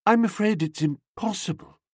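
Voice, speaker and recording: A man's voice: sits high, moderate pace, darkish tone, no room sound, clean studio sound, pitched above the performer's own voice. A man voicing a merry, coy, gushing young woman, the niece, in a comic audiobook.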